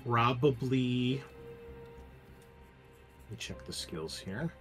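A man's voice: mid-range voice, lively intonation, slow, fairly bright tone, soft background music. A man hosting as game master, talking casually through a tabletop roleplaying game. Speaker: game master and channel host.

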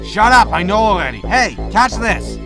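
An actor playing a bossy, irritable spaceship captain in a sci-fi comedy audio drama, blunt and impatient. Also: male voice, high-pitched, big pitch swings, moderate pace. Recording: music under the voice.

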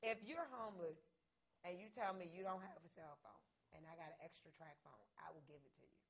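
A woman's voice: on the low side, fairly quick. A young woman co-hosting a casual studio talk show, blunt and joking in a plain-spoken way. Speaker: co-host. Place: TV studio set, indoors. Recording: dull lo-fi broadcast sound.

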